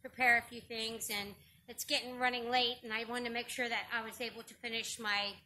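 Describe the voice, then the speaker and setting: Bright high-voiced woman explaining outdoors. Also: level tone, fairly quick, a little hiss or hum, low-quality recording. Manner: chatty and homely, explaining step by step with frequent ums. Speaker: host demonstrating open-fire cooking. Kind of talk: casual outdoor cooking demonstration. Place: outdoors in a grassy yard. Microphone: camera on a stand a few meters away.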